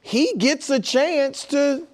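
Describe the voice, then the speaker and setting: A man's voice: very high-pitched, takes it slowly, a little mellow. A man chatting and debating off the cuff as a panelist on a sports show.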